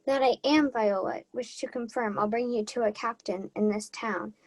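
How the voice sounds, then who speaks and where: A woman's voice: a little high, moderate pace, lightly acted, poor audio. A young woman playing an earnest, devoted young page in a Shakespeare radio play. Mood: moved. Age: young adult.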